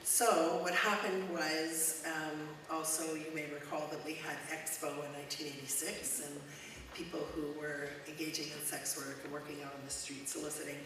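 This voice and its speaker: Woman speaker, loud natural voice, lower pitch, chatty talk, echoey hall, distant mic, background noise. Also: little pitch movement, normal speed. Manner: warm, conversational, with frequent ums. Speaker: guest speaker, charity executive director. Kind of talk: informal talk to a church congregation. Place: church hall.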